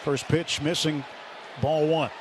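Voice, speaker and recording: A man's voice: a little high, flat delivery, slow. A man calling live baseball play-by-play as a radio broadcaster. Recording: loud ballpark crowd noise behind. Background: stadium crowd and ambience.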